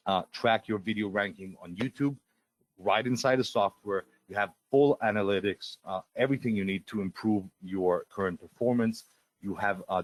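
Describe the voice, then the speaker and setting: Man, native Russian speaker, middle-aged, low voice, salesy webinar pitch, lots of fillers and restarts, dry close sound. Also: no echo. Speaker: software presenter on a live webinar. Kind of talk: live sales webinar, screen-share walkthrough.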